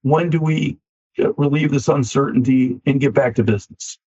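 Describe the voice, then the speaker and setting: A man, a finance company CEO, answering interview questions with a slightly scratchy voice, measured and often repeating words as he starts a thought. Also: medium pitch, dark tone, breathy edge. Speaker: equipment finance CEO, guest. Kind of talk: business podcast interview. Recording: dull lo-fi sound.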